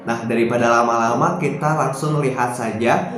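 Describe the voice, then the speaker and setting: A man's voice: mid-range voice, fairly even intonation. Hesitant young man in an echoey room. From Indonesia. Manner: hesitant, many filler "eh" pauses. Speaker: YouTube reaction vlogger. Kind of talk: casual vlog talk to camera. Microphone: phone. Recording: very echoey room, music behind.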